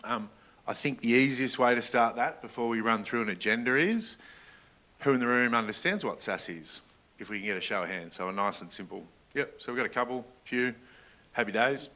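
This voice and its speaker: Bright-voiced man presenting a workshop. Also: medium pitch, animated, fairly quick. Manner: informal, engaging the room. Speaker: workshop presenter, general manager. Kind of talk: business workshop presentation to an audience.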